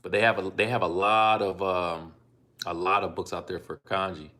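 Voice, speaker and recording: A man with a very low voice hosts a casual live stream, speaking through a phone. He comes from Ohio and talks in a relaxed, rambling way, pausing on ums as he reads out donor names and answers questions about learning languages. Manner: laid-back, unhurried, with frequent ums and asides. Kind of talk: casual live-stream chat reading donations.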